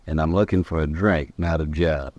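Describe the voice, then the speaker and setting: A very low, dry-sounding male drifter from a 1950s radio Western. He is surly and taunting, a drawling troublemaker looking for a fight. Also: fairly even intonation, normal speed, darkish tone, no room sound, muffled recording. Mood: surly, probing. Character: surly, menacing drifter from Texas, itching for trouble.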